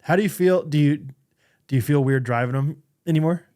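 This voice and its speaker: A man hosting a podcast, asking questions in a relaxed, joking manner. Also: on the high side, brisk. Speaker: podcast host. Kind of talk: casual chat.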